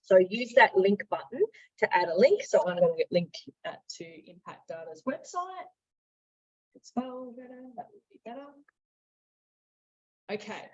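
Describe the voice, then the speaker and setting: An Australian woman presenting a conversational software training demonstration, explaining tips step by step and stressing the key points. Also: mid-range voice, husky. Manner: explanatory, emphasising tips, checking in with "right?". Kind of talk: instructional screen-share presentation, conversational. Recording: dull, boxy, echoey room sound.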